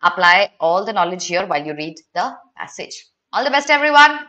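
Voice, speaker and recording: Bright-voiced young Indian woman teaching. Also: fairly low pitch, sing-song, fairly quick, dull audio. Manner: explanatory, addresses students with frequent questions. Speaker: exam-prep teacher. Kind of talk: live online lesson.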